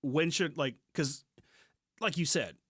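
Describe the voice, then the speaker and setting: A man's voice: on the high side, takes it slowly, fairly bright tone, husky, dry recording, clean studio sound. An American man hosting a podcast, chatting casually in informal slang and often restarting his phrases. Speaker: podcast host. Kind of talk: casual music podcast chat.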